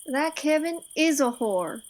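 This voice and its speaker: Woman as a high-voiced American farm boy. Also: expressive, measured pace, a little hiss or hum. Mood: upset, contrite. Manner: plaintive, earnest. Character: hurt, defiant ten-year-old frontier farm boy. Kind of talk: radio western acting.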